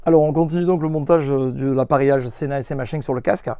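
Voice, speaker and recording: A man's voice: medium pitch, fairly even intonation, fast talker, mellow, clear, resonant tone, no echo, clean studio sound. A man presents a hands-on product tutorial as a shop presenter, unscripted and instructive, often stressing what matters. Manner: instructive, emphatic, repeats reminders of what matters. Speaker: motorcycle accessory shop presenter.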